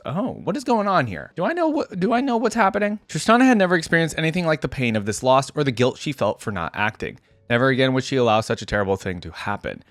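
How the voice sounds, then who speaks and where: Fast-talking man hosting a reaction video. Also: medium pitch, dry recording. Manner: chatty, thinks aloud with asides. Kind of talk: casual reaction commentary mixed with reading aloud.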